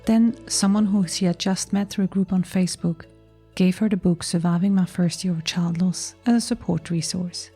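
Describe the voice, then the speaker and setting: A woman's voice: fairly low pitch, fairly even intonation, moderate pace, warm tone, husky, soft background music. A woman hosting a podcast, speaking in a scripted introduction and interview style. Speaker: podcast host.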